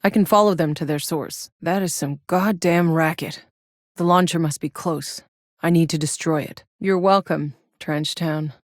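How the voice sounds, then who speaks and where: Tough female sci-fi game soldier, lower-pitched, wry, blunt, naturalistic, close dry studio sound. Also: unhurried, dry recording. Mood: focused, businesslike. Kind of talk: video game voice lines, naturalistic acting.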